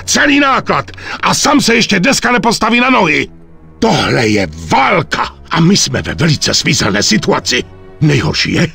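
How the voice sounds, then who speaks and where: A stern aging crime boss, with a creaky edge to his voice. He speaks gravely and with authority, his pitch moving widely, as in a dramatic game cutscene over music. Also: bright, forceful tone, exaggerated voice. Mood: grave anger. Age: older.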